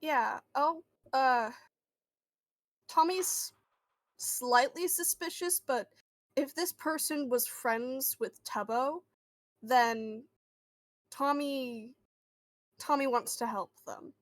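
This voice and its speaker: A woman playing a friendly but guarded boy who hears voices, halting and reassuring, in an improvised tabletop roleplay. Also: high-pitched, dry recording. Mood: wary, willing.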